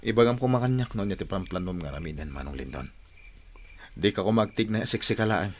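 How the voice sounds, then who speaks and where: Subdued, worried younger brother. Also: male voice, deep voice, animated, brisk, slight background noise. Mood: puzzled, worried. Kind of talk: Ilocano radio drama acting.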